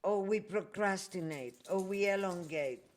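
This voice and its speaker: A woman raised in Chile and Peru, hosting a podcast in a reflective way and often pausing to find her words. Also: mid-range voice. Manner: slow, reflective, searching for words. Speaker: podcast host. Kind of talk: conversational interview.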